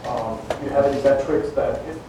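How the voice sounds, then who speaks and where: Hesitant man asking from far in a hall. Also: medium pitch. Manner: hesitant, halting. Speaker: audience member. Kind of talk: asking a question from the floor. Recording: distant, very echoey hall with room noise.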